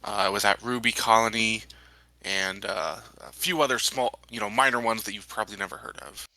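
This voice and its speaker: A man playing a tabletop role-playing game, improvising his part in a measured, hesitant way. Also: fairly low pitch, gravelly, pushed and bright, no room sound, poor audio. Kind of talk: improvised role-play and casual table talk.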